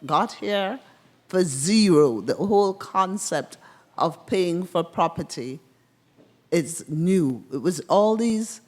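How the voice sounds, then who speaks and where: A woman from the congregation with a Jamaican accent, making a thoughtful comment that builds an argument. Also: lowish voice.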